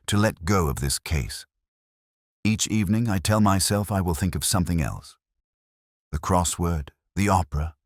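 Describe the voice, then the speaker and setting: A man playing a melancholy older English police inspector, delivering a measured, solemn, literary monologue in a radio drama. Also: low-pitched, no echo, studio quality. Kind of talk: scripted first-person radio drama monologue.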